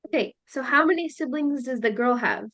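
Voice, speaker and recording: A woman teacher leading a listening lesson, explaining slowly and checking in with her students. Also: high voice, fairly bright tone. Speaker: English teacher.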